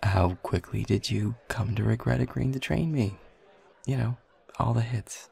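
A man's voice: medium pitch, moderate pace, vocal fry, darkish tone, husky, exaggerated voice. A young man playing a shy, self-deprecating new coworker in an intimate audio roleplay, speaking hesitantly and getting flustered. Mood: awkward, hopeful. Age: young adult. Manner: hesitant, flustered, stammering, nervous chuckles. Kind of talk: intimate audio roleplay, one side of a conversation.

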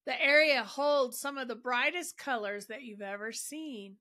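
A woman narrates a guided meditation in a fairly high, bright voice. She speaks very slowly and deliberately, placing each phrase with care. Her delivery is gentle and soothing as she leads the listener along.

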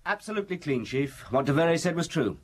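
Quick, eager police detective. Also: male voice, on the high side, big pitch swings, slightly rough. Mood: brisk, eager. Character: brisk, eager police detective reporting to chief. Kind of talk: radio drama acting.

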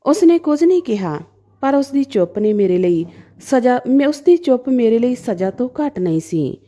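A woman's voice: mid-range voice, dark tone. A woman narrating a dramatic story in the first person as a storyteller.

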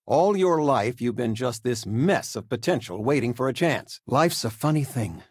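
Doting middle-aged game dad: folksy, lightly husky, lively intonation, close dry sound. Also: male voice, mid-range voice, normal speed, no room sound. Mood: reassuring, tender. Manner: folksy, affectionate, conversational. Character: warm, doting middle-aged father, gently joking. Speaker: video game voice actor. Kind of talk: natural, conversational game character dialogue.

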